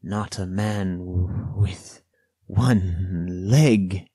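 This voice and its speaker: A man voicing a half-crazed, sly and childish old marooned sailor in a storybook, with rustic dialect, drawn-out words and sudden excitable bursts. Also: deep voice, dry recording. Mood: fearful.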